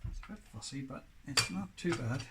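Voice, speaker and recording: A man giving casual hands-on repair narration, halting and half talking to himself as he works. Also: medium pitch, animated. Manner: muttering to himself while working, halting. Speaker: DIY repair presenter. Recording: clearly noisy, with clicks and tool handling.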